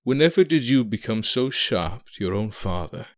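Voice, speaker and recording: A man's voice: fairly low pitch, animated, normal speed, lightly acted. An actor playing a proud, stubborn, weary aging king and loving father in an audio drama, speaking in formal, courtly phrasing. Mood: wry, fond.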